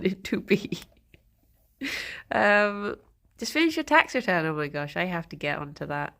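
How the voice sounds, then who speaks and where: A woman's voice: medium pitch, vocal fry. A woman streamer chatting casually and greeting viewers by name, a bit snuffly from a cold. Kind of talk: casual chat with live chat.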